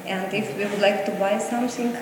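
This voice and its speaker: A middle-aged woman from Russia with a Russian accent, in halting non-native English that repeats words and restarts, giving a street interview as a boutique owner. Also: medium pitch, fairly even intonation, background noise. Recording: echoey long reverb, clear background ambience.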